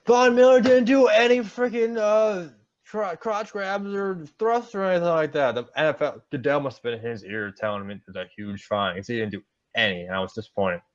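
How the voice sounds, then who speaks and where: A young man co-hosting a casual sports podcast, joking around and talking with quick, animated asides. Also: fairly high pitch. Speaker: podcast co-host. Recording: dull lo-fi sound.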